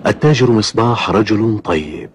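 A man voicing a gossipy, suspicious middle-aged fisherman in a cartoon, with deliberate, dramatic delivery. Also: lowish voice, animated, husky. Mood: curious, friendly. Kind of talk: Arabic cartoon dubbing.

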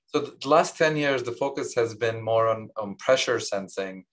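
Lebanon-raised man, Armenian native, low voice. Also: normal speed. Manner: modest, frequent restarts and fillers. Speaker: Nobel laureate guest. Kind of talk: candid interview over video call. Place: office with large window. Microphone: video call webcam.

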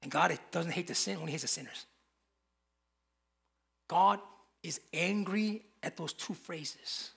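A man's voice: on the high side, unhurried, creaky, long pauses, a bit bright, husky. A man, preaching a sermon from a church pulpit with emphatic, repeated phrases. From Korea. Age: 50s. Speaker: pastor.

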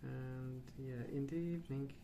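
A man speaking softly and hushed in an unhurried, halting ASMR ramble, often trailing off. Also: medium pitch, fairly even intonation. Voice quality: soft, hushed. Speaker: ASMR video host. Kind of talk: soft-spoken ASMR rambling. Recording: clear background noise.

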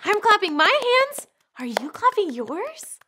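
A young woman hosting a children's church program on a studio set, bubbly and animated as she talks to kids. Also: very high-pitched, a little slow, a little hiss or hum.

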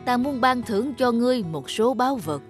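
A woman's voice: fairly high pitch, lively intonation, fairly quick, faint music behind, close to an everyday voice. A woman voicing a generous middle-aged male emperor in a cartoon folk tale, speaking in a formal, commanding manner. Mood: stately.

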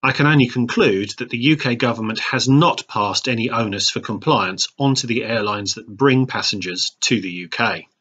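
A man's voice: mid-range voice, moderate pace, hardly any pauses, a bit bright, husky. A middle-aged British man reading a scripted travel vlog voice-over with dry, wry understatement. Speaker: travel vlogger.

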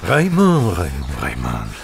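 A man's voice: rumbling low, wildly swooping pitch, takes it slowly, creaky, soft background music, heavily acted. An actor playing a menacing, mocking male kidnapper making a threatening call in a dramatised scene.

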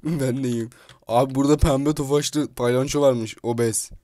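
A man giving excitable, slangy, foul-mouthed gameplay commentary as he chats in a roleplay game. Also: mid-range voice, moderate pace. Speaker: video host playing a roleplay game. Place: indoors at a computer, voice over gameplay. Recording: clear background noise, keyboard clicking.

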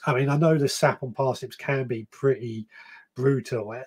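A man's voice: mid-range voice, fairly even intonation, normal speed, a little mellow, breathy edge. A man in his thirties hosting a gardening podcast live stream, chatting casually and reading out viewer comments, often stopping to correct himself. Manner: rambling, self-correcting, reads comments aloud. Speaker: podcast host. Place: small shed or room, indoors.

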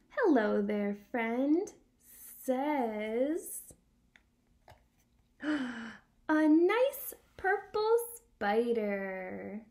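A young woman reading a picture book aloud for small children and teaching signs, in an animated, exaggerated sing-song manner. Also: a little high. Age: young adult. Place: small room at home by a window.

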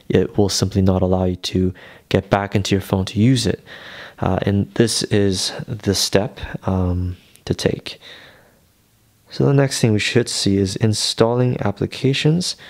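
A man presenting a casual step-by-step tech tutorial in a reassuring, unhurried manner. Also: on the low side, a little mellow.

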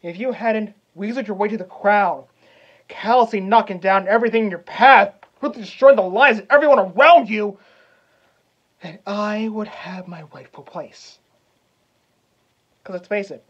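A man playing a vengeful young prince as a cocky wrestler cutting a theatrical promo, swaggering and taunting with dramatic pauses. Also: high-pitched, slightly rough, lo-fi sound, heavily acted. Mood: accusing, then taunting, boastful. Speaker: actor.

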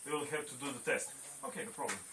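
Amateur comedian man, distant noisy recording. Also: medium pitch. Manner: halting, gesturing joke-telling in non-native English. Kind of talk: informal stand-up comedy. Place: open wooden pavilion at night. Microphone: phone camera several metres away.

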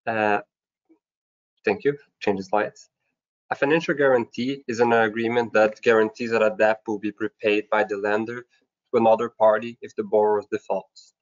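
A man's voice: on the low side, fairly even intonation, moderate pace. A man giving a formal, scripted slide presentation in a webinar, going through his points step by step. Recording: dull, lo-fi online webinar audio.

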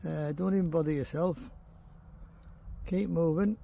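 A man's voice: a little high, a little slow, vocal fry, warm tone, slight background noise. A man with a Teesside accent chatting casually and colloquially as he vlogs outdoors. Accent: Teesside English. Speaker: angler vlogger. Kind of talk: casual vlog chat. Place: outdoors on a riverbank at night. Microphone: camera or phone mic.

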